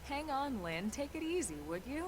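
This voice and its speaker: Composed officer over clear background noise. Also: female voice, high voice, very animated, normal speed. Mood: gently scolding. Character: composed, sensible female military officer, patient and guiding. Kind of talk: video game cutscene voice acting.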